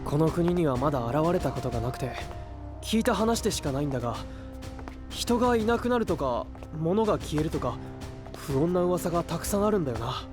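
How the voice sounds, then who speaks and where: Earnest young male knight with expressive delivery. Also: fairly high pitch, fairly quick. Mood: troubled. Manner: sincere, swinging from subdued to eager. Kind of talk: Japanese anime-style voice drama acting. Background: prominent background music.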